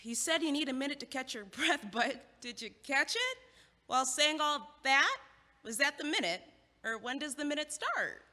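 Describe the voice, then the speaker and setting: An actress playing a friendly young college student who teases and talks in a lively way, recorded in a theater. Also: female voice, high-pitched. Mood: teasing. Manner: lively, teasing, quick to laugh. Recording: reverberant theater stage.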